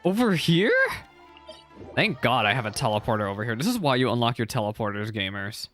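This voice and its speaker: A man's voice: medium pitch, very animated, fairly quick, fairly bright tone, soft background music. A man giving casual reaction commentary over a video game, chatting and reacting as the dialogue plays. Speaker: game streamer.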